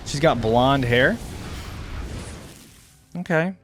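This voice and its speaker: A man's voice: medium pitch, animated, drawn-out words, soft background music. A man giving casual reaction commentary on video game character skins, thinking aloud as he goes. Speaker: reaction channel host.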